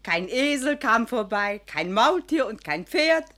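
A chatty lively maid. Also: female voice, on the high side, unhurried. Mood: gossiping. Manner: lively, telling tales.

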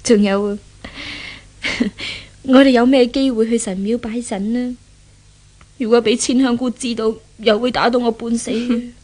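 A woman's voice: on the high side, fairly even intonation, moderate pace, a little mellow, close to an everyday voice. An actress in a 1970s radio drama playing a guilt-ridden, world-weary young woman with a melodramatic, sorrowful manner. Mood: reluctant, subdued. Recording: old radio drama, music behind voice.

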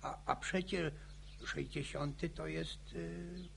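Stammering, creaky old village farmer. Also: male voice, a little high, fairly even intonation, slow pace, crowd noise, full character voice. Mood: rambling, folksy. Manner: stammering, repeating words, rustic rambling. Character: chatty, folksy old village farmer, warm and grumbling. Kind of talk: radio drama acting.

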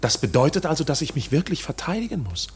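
A man's voice: fairly high pitch, sing-song, fast. An actor playing an uneasy, polite accused man who keeps asking questions, in a radio drama. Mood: curious, guarded. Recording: loud background noise behind voice.

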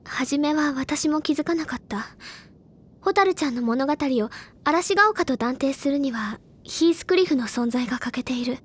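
A woman playing an earnest, imaginative high-school senior girl in an audio drama, speaking deliberately in literary, feminine phrasing. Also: natural voice. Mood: grave, intent. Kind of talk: Japanese audio drama acting, dramatic explanatory monologue. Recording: loud music bed behind the voice.

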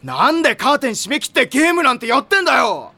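A man playing a loud, hot-blooded, easily spooked high school volleyball boy in an anime audio drama, with blustering, rough slang. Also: wildly swooping pitch, quick pace, no echo, full character voice. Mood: exasperated shouting.

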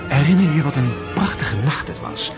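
An actor playing a courtly, world-weary prince in love, speaking formally and tenderly in a period radio drama. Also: male voice, a little high, expressive, brisk, creaky. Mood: earnest, tender. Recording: radio play with loud music behind. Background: loud music.

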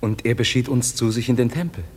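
A man's voice: medium pitch, fast, slightly rough, lightly acted. A man playing a young nobleman in love, just woken from a dream, acting verse in a German radio play. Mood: relieved wonder. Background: music under the voice.

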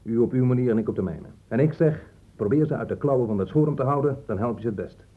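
An actor playing a gruff, hard-line police inspector in a radio drama, blunt and sarcastic, with emphatic delivery. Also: male voice, medium pitch, quick pace, phone-quality sound, close to an everyday voice. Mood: stern, scornful.

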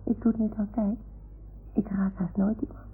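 A woman's voice: medium pitch, little pitch movement, normal speed, slightly rough. A woman playing an imaginative, earnest young boy who repeats grown-ups' words to his toy animals in a radio play. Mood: hesitant. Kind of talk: 1960s Dutch radio play acting. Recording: old radio recording, phone-like band, noise.

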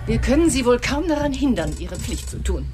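A woman's voice: fairly high pitch, sing-song, a little fast, music in the background. A woman playing a composed, condescending senior government official in a radio crime drama, formal and diplomatic.

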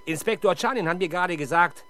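A man acting as a gruff, impatient, sardonic police inspector in a 1970s German crime radio play. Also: high-pitched, fast, fairly bright tone. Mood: dry, businesslike. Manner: brusque, sarcastic, demanding.

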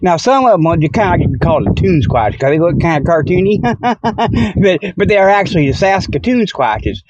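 Folksy guy rambling thru a comic report, keeps getting sidetracked, kinda high voice, muffled dull sound. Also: very animated, normal speed, darkish tone. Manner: folksy, easily sidetracked, repeats "okay" and "you know". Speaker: host of a comic Sasquatch report show. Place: outdoors by a river.

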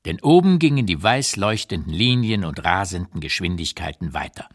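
A man reading as an old writer looking back on wartime London in a measured, deliberate literary radio narration. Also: low-pitched, very animated, exaggerated voice. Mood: reflective, unsparing. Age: older. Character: old male writer recalling wartime London, unsparing, reflective.

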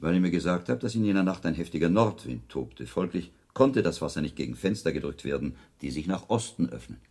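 An actor playing a shrewd, learned monk investigator in a German radio drama, reasoning calmly in deliberate, precise phrases with a husky voice. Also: male voice, deep voice, full character voice. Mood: probing, grave. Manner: deliberate, precise, logical phrasing with weighty pauses.

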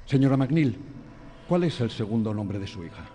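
A man acting in a radio drama as a veteran exorcist priest, grave, measured and authoritative. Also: mid-range voice, animated, warm tone, slight background noise, close to an everyday voice. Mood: solemn, warning. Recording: music bed under the voice.

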